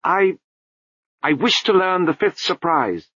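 A man's voice: high-pitched, animated, takes it slowly, bright, forceful tone, slightly rough, no room sound, dull audio, pitched above the performer's own voice, heavily acted. An actor playing an earnest young male apprentice monk who stammers hesitantly.

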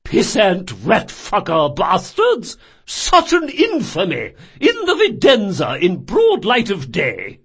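A man's voice: high voice, wildly swooping pitch, takes it slowly, vocal fry, hardly any pauses, a bit bright, husky, pitched above the performer's own voice, heavily acted. A man voicing a fat, indignant man in an audiobook, spluttering with pompous outrage. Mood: outraged.

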